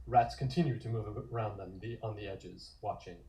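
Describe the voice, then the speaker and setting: A man reading scripted narration for a comic-book table read in a measured, descriptive way. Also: on the low side, dark tone.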